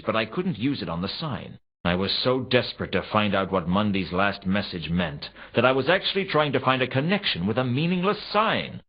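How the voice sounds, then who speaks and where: An actor playing a weary, wry male private detective, narrating a noir video game in a deadpan, sardonic, measured way. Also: on the low side, slightly rough. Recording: slightly dull, compressed game audio.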